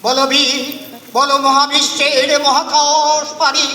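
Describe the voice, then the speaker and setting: A man's voice: very high voice, flat delivery, slow pace, sharp tone. A man reciting poetry in a dramatic, declamatory style, with emphatic, incantatory delivery in short phrases. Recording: echoey hall reverb, distant voice.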